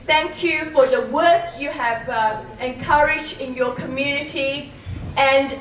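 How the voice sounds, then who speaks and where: A woman with a high voice speaks into a handheld mic on a club hall stage, measured and earnest, English being her second language. The sound is echoey and distant, caught by a camera far back with a faint hiss. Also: fairly bright tone. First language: not English. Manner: measured, earnest, with some hesitations. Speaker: politician giving a speech. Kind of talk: formal ceremony speech.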